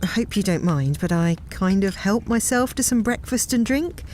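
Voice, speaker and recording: A woman's voice: mid-range voice, lively intonation, moderate pace, darkish tone, a little hiss or hum, lightly acted. A woman playing a confident, wry space captain in an audio drama, polite but given to dry scolding. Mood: gracious. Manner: polite to others, dryly scolding.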